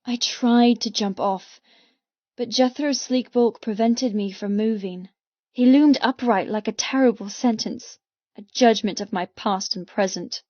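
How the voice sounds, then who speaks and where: A woman's voice: on the high side, unhurried, dry recording, muffled recording. A woman reading scripted, dramatic first-person narration as an audiobook narrator.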